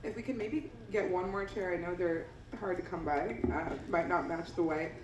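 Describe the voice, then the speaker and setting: A woman's voice: lowish voice, normal speed. A woman in her thirties from the Bay Area, a filmmaker talking on a live panel in a chatty, thankful way with many restarts. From San Francisco Bay Area. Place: theater stage before an audience. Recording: echoey hall, audience noise, far mic.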